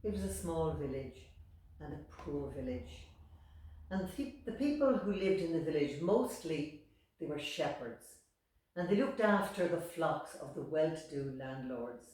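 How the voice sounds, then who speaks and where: A woman with an Irish accent telling a folk tale in deliberate, dramatic short phrases. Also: low voice, lively intonation, darkish tone. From Ireland. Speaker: storyteller. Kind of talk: oral storytelling of a folk tale. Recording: very echoey room, distant microphone.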